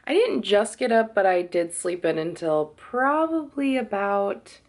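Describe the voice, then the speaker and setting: A woman's voice: mid-range voice, very animated, unhurried, darkish tone. Chatty young woman vlogging at home. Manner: chatty, lots of "like" fillers and laughs. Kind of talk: casual vlog chat. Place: home kitchen.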